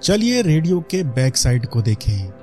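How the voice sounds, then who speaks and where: A man's voice: mid-range voice, sing-song, moderate pace, dark tone, faint music behind. A man with an Indian accent giving a product demonstration voice-over as a reviewer.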